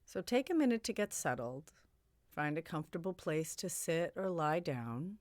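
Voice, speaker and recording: A woman leading a guided meditation in a soothing, gently suggestive way, her phrases broken by long pauses. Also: low-pitched, very animated, no echo, studio quality.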